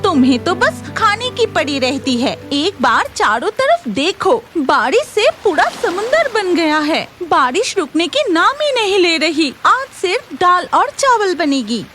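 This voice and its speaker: Woman acting village wife, very high voice, animated swings, excitable, sharp-tongued, cartoon dialogue. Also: measured pace, few pauses, soft background music, full character voice. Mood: exasperated. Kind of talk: Hindi cartoon story dialogue.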